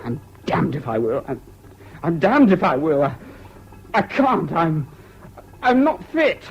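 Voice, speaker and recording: A man's voice: on the high side, big pitch swings, measured pace. An actor playing a brittle, anguished English serviceman in a 1970s British radio drama. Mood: breaking down. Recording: dull, noisy old radio recording.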